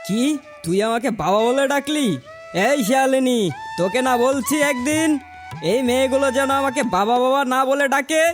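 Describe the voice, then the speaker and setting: A man's voice: very high voice, moderate pace. A man voicing a harsh, angry fox father in a cartoon, scolding and threatening in a dramatic way. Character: angry, harsh male fox father who scorns daughters. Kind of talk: dramatic children's cartoon dialogue. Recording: background music under voice.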